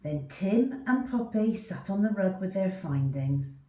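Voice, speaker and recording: Slow, lively woman reading a children's story. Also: mid-range voice. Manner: deliberate, animated delivery for young listeners. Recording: roomy echo, distant mic.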